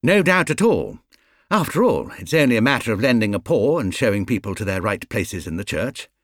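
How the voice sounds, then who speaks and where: A British man voicing a brisk, matter-of-fact, no-nonsense female housekeeper in a storybook. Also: fairly low pitch, big pitch swings, fairly bright tone, dry recording, studio quality. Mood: firm approval.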